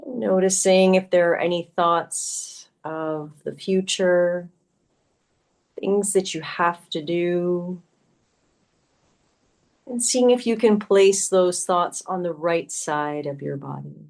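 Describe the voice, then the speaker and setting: Female meditation guide, slightly low register, unhurried and gentle, soothing instruction with long pauses. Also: creaky. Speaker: yoga teacher. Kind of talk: guided meditation, yoga nidra.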